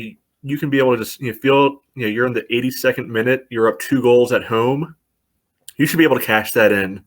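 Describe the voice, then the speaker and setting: A man's voice: medium pitch, fairly bright tone. A man co-hosting a casual soccer podcast, talking at length with many "you know" fillers. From United States. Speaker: podcast co-host. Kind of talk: casual sports chat.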